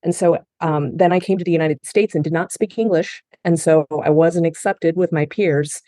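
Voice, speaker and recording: A woman's voice: low-pitched, moderate pace, mellow, no echo, studio quality. A middle-aged woman who grew up in Japan and speaks English as a second language, telling her life story earnestly as a guest in an interview. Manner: earnest and heartfelt, with frequent restarts. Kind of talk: personal testimony in a podcast interview.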